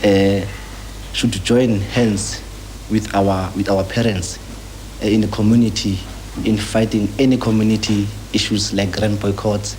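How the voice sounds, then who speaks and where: A young South African man speaks as a student activist in an interview, earnest and halting, often repeating his phrases. His voice is on the low side and very mellow. Faint noise from an old 1980s newsreel sits on the recording. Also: little pitch movement. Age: young adult. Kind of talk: documentary interview. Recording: noisy 1980s newsreel location sound.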